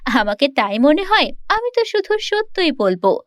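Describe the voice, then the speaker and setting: A woman's voice: high voice, big pitch swings, measured pace, no echo, studio quality. A woman voicing a naive, earnest young princess with a pleading manner in a cartoon fairy tale. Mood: curious.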